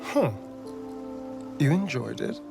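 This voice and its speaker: An arch, haunted escaped slave for a video game. His delivery is theatrical and sardonic, unhurried and very animated in pitch. Music plays clearly behind him. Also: full character voice. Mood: arch, smug. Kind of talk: dramatic video game character acting.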